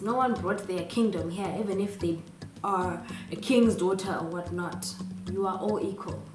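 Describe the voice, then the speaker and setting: A woman's voice: mid-range voice, reverberant. Zimbabwean woman in her late 20s giving students advice, bit far from the mic, music playing under. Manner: earnest and encouraging, with rhetorical questions. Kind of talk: advice vlog, direct address.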